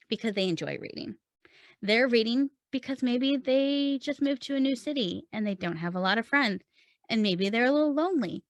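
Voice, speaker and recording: A woman's voice: a little high, dry recording. Earnest woman coaching writers, dry sound. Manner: earnest and encouraging, asks many rhetorical questions. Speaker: writing coach and podcast host. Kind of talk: solo teaching talk to camera.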